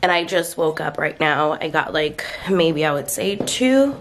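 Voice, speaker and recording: A woman's voice: expressive, measured pace, slight background noise. A young woman in her twenties vlogging at home with a cold, sounding stuffed up, tired and rambling, talking to a camera held at arm's length. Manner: tired, low-energy, rambling with many fillers. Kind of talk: casual vlog chat to camera. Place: bedroom and then a kitchen at home, indoors. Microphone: handheld camera at arm's length.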